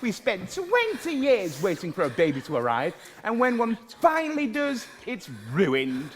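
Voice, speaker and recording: A man's voice: high-pitched, a little slow, exaggerated voice. Man doing a hapless panto king, blustering and exasperated, voice all over the place, faint noise. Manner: blustering, excitable, stammering. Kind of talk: broad pantomime stage comedy. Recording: faintly noisy live stage recording.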